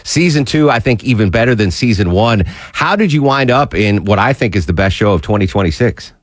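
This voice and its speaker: A man's voice: on the low side, animated, fairly quick, dry recording, clean studio sound. A man hosting a radio show, interviewing eagerly and rambling, with many fillers and restarts.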